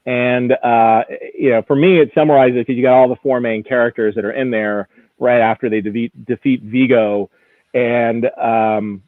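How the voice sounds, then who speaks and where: A man's voice: mid-range voice, steady tone, normal speed, dry recording. Man on a dry, phone-like line. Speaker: auction house executive as guest expert. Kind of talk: live auction preview commentary, conversational. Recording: narrow, phone-like radio-style sound.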